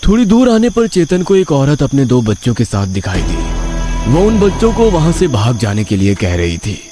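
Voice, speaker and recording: A man's voice: medium pitch, huge pitch swings, normal speed, over music. A man reading a scripted horror story as its narrator.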